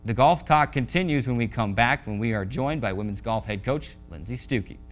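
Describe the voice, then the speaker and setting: A man's voice: mid-range voice, fairly quick, faint music behind. An American man hosting a sports show, reading a scripted recap of scores in a polished announcer delivery. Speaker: sports show host and play-by-play voice.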